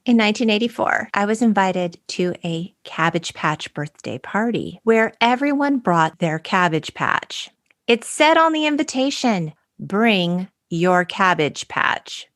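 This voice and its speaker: A woman's voice: mid-range voice, lively intonation, measured pace, fairly bright tone, no room sound, clean studio sound. A middle-aged woman co-hosting a podcast, telling personal stories casually with a dramatic, self-deprecating manner. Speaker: podcast co-host. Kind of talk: animated personal storytelling, casual chat.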